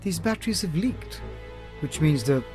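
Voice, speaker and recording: Radio detective for a male actor: sharp, protective, articulate, animated intonation, slight music bed behind. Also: fairly high pitch, normal speed, natural voice. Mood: probing. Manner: probing, intent, articulate. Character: earnest, sharp-minded private detective, protective of companions. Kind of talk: radio drama acting.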